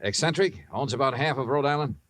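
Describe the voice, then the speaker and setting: A man's voice: mid-range voice, fairly quick, a bit bright, no room sound, lightly acted. An actor playing a breezy, wisecracking male insurance investigator in an old radio drama, tossing off wry, teasing comebacks. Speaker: lead actor. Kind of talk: 1950s radio drama acting.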